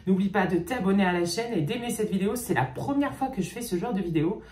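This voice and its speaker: A woman YouTuber reading fan fiction aloud and pausing often to comment, in a chatty manner. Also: medium pitch, lively intonation, darkish tone. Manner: chatty, reading slowly, with frequent "ok" and hesitations. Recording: roomy echo.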